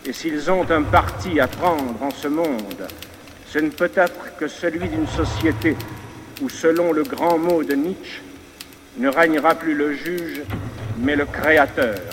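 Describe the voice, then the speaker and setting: A man's voice: on the high side, measured pace. A man giving a formal, solemn, declamatory public speech. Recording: reverberant archive speech, noisy background.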